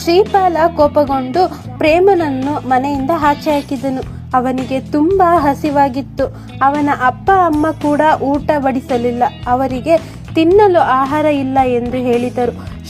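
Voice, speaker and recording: Female narrator for scripted moral stories, high register, very measured pace. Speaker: storyteller. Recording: music behind the voice. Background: music.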